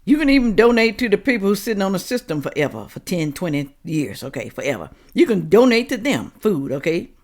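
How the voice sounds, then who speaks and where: A woman's voice: medium pitch, big pitch swings, fairly quick, few pauses, a little mellow. An American woman giving opinionated, confrontational commentary straight to viewers, blunt and full of rhetorical questions. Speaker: host of a reaction commentary show.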